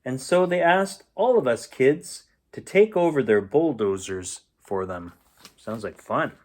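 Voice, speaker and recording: A man reading a children's storybook aloud in an expressive, playful storytelling manner. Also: mid-range voice, a little slow. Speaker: bedtime story reader.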